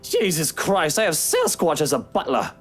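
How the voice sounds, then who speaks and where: An actor playing a very drunk, maudlin husband in a visual novel, slurring and rambling melodramatically. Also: male voice, on the high side, huge pitch swings, moderate pace, soft background music. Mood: drunken, silly. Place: studio game voice track with music behind.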